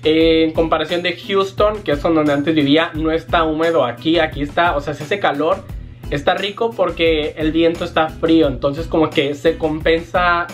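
A young Mexican man with a somewhat high voice vlogs from a small bedroom. He talks in a lively, slangy way and gestures a lot. Faint guitar music plays underneath. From Mexico, Jalisco. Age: young adult. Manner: animated, lots of hand gestures, slangy, puts on quick voices for quoted people. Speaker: vlogger. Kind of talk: casual chat to camera. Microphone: fixed camera at desk.

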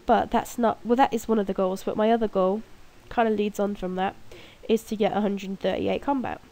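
Young woman with a stuffy nose from a cold, mellow voice, gaming vlogger who rambles and laughs at herself. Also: mid-range voice, steady tone, a little fast. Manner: rambling, self-mocking, giggly. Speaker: gaming video creator. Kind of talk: casual gameplay commentary. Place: room at home, alone at night.

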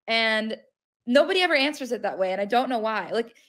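Blunt young-sounding woman, slightly high voice. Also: animated, fairly quick, a bit bright. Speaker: panel guest. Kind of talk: casual political podcast chat.

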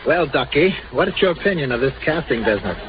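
A man's voice: a little high, expressive, fast talker. A man conducting a scripted interview on a 1930s radio show. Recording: muffled, noisy 1930s radio recording.

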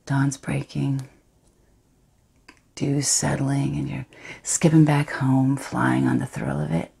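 Casting note: a woman doing a defiant young woman, very low gravelly voice, intimate and half-whispered, a bit of a cold. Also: expressive, slow pace, dark tone, heavily acted. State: has a cold. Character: defiant young woman, unrepentant after a secret night. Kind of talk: audition monologue.

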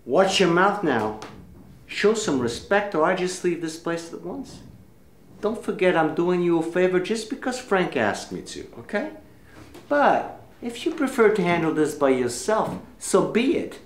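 An actor playing a proud, touchy male psychic medium who speaks slowly and with offended dignity. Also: sits high, very animated, reverberant. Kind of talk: indie drama acting.

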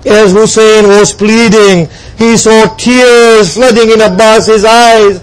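High-pitched male preacher, slow mournful delivery. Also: fairly even intonation, clean tone, rough recording. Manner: solemn, impassioned, dramatic delivery with plaintive cries. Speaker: preacher reciting a religious lament. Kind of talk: mournful devotional sermon storytelling.

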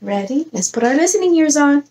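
A woman's voice: high-pitched, animated, brisk, mellow, a little hiss or hum. A woman in her thirties, a preschool teacher reading a picture book aloud to young children, expressively, coaxing them with questions and prompts. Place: indoor room against a plain textured wall.

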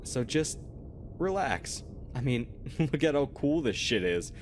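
A man's voice: medium pitch, sing-song, a little slow. A man playing a jovial, reassuring astronaut, talking casually in an audio roleplay drama. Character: upbeat, joking, reassuring male astronaut. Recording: faint noisy ambience.

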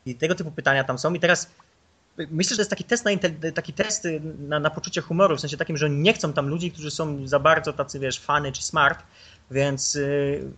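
A man's voice: a little high, fairly quick, pushed and bright, husky. A man chatting as a guest on a podcast, giving long, rambling, opinionated explanations. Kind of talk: casual chat.

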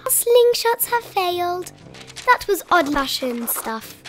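A woman's voice: very high-pitched, very animated, slow, faint music behind, voice pushed up, heavily acted. A woman voicing a confident, jovial old male military chief who reassures emphatically in a storybook read-aloud. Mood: confident, eager.

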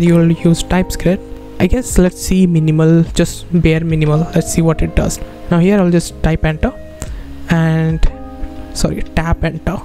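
A young man talks through a screen-recorded tutorial in an even, mellow voice. He is informal and often checks in with a short tag question. Faint music plays behind him. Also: a little high. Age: 20s. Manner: informal, often tagging statements with "right?". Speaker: tutorial host. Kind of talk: casual spoken screen-recorded coding tutorial. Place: indoor room at a computer.

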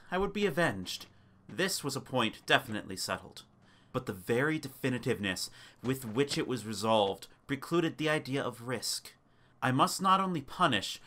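A man giving a dramatic scripted narration of a gothic tale, with theatrical, deliberate phrasing. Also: mid-range voice, sharp tone.

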